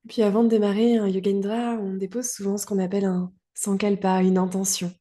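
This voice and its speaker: A woman guiding a relaxation meditation as a yoga teacher, calm and soothing, in short phrases with long pauses. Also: mid-range voice. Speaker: yoga nidra guide.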